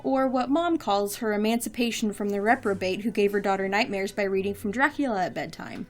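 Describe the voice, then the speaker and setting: A woman's voice: mid-range voice, a little fast, soft background music, close to an everyday voice. An actress playing a guarded, sarcastic young woman, a hardened grad-student daughter, speaking dryly and articulately in an audio drama. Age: young adult.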